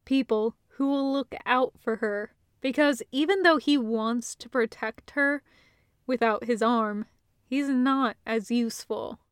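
Unhurried woman narrating a story. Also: fairly high pitch, clear, resonant tone, dry recording, studio quality. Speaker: audiobook narrator. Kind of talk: scripted fan fiction narration.

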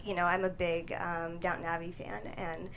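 A woman with a slightly low voice gives casual interview answers as a screenwriter. She speaks haltingly and thoughtfully, feeling out her phrasing as she goes. There is faint noise behind her. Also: flat delivery, a bit bright, poor audio. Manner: hesitant, thoughtful, halting delivery. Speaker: screenwriter guest being interviewed.

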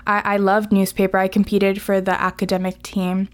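A young woman of high school age whose first language is Spanish talks as a guest on a student podcast. Her delivery is informal and chatty, peppered with filler "like". Her voice sits at a medium pitch. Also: monotone, normal speed. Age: late teens, high school student. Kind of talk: casual conversational interview.

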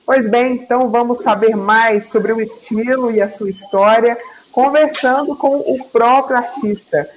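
A woman TV presenter who reads a scripted introduction in a clear, measured way, then interviews a guest. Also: fairly high pitch, highs cut off, slight background noise.

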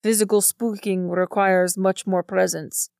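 Woman voicing a suave, mischievous gentleman ghost, gravelly. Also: medium pitch, little pitch movement, a little slow, no room sound, clean studio sound. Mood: suave, instructive. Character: gentlemanly male ghost, mischievous mentor in spooking.

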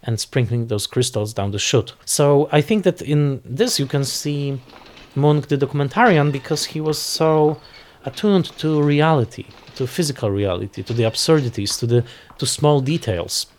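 A man speaking off the cuff as a film critic in an interview, analysing in a deliberate, emphatic way and searching for precise words. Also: mid-range voice, animated, darkish tone. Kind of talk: unscripted analytical interview commentary.

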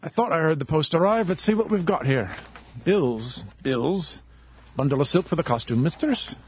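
A man plays a nosy theatre dogsbody in a radio drama. His voice is slightly high and animated as he grumbles wryly. There is faint noise in the recording. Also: normal speed, natural voice. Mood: wry, grumbling. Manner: muttering to himself, wry.

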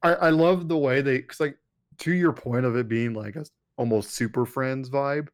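A man co-hosting a casual, conversational review of an animated series. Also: mid-range voice, normal speed. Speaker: podcast co-host.

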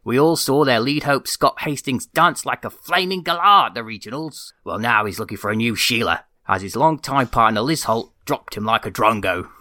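A man's voice: mid-range voice, normal speed, hardly any pauses, bright, forceful tone, no echo, clean studio sound, close to an everyday voice. A man doing a folksy slangy dance-news reporter. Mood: mock-serious. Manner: mock-serious newsreader delivery.

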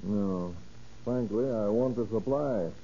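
A man's voice: on the low side, slow, warm tone. An actor playing a curt, commanding male federal narcotics agent who interrogates with authority in 1930s radio crime drama. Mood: pressing, skeptical. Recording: noisy, muffled old radio recording.

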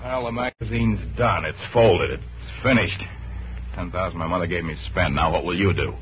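An actor playing a well-bred, romantic and earnest young gentleman in a 1940s radio drama, with a soft, poetic manner. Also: low voice, moderate pace, close to an everyday voice. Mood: awkward, earnest. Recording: narrow, noisy 1940s radio broadcast.

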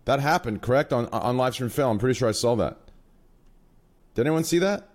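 A man's voice: medium pitch, animated, a little fast, darkish tone. A man in his late twenties with a mid-range voice, hosting a streaming chat. He rambles, trails off and turns to ask his viewers things. He sounds casual and unpolished. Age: 20s. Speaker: streamer, host.

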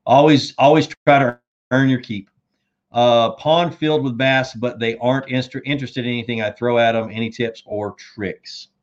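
A man's voice: medium pitch, lo-fi sound. Laid-back man losing his voice. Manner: laid-back, says dude and man, reads viewer comments aloud. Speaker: fishing channel host answering viewer questions. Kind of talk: casual live chat.

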